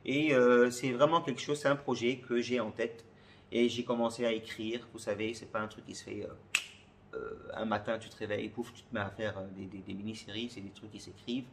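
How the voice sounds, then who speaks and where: A man's voice: lowish voice, steady tone, fairly quick, creaky, a little hiss or hum. A man from Côte d'Ivoire answers questions in a casual vlog, often searching for words and repeating phrases. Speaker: comedy video creator.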